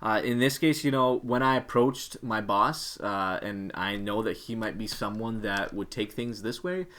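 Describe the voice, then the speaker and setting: Lowish-voiced man giving informal advice. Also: measured pace, a bit bright. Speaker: co-host, career coach.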